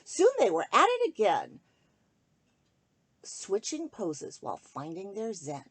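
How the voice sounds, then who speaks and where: A woman reading a rhyming children's story aloud in an expressive, sing-song way, speaking directly to young listeners. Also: mid-range voice, slow pace, slightly rough.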